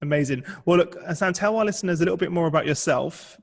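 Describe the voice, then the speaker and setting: A man's voice: on the high side, fast, vocal fry. A man hosting a podcast interview, upbeat, laughing often and repeating words. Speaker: podcast host.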